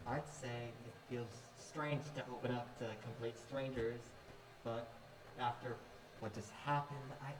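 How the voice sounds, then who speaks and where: A man's voice: mid-range voice, fairly even intonation, a little slow, close to an everyday voice. A young man acting a hesitant, mild, good-natured character in a student stage play. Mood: tentative. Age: young adult. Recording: echoey room, far mic, clear noise.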